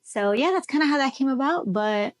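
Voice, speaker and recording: A woman's voice: sits high, expressive, fairly quick. A young woman from Chicago hosting a music podcast, upbeat and chatty as she interviews a guest. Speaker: podcast host. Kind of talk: casual interview chat.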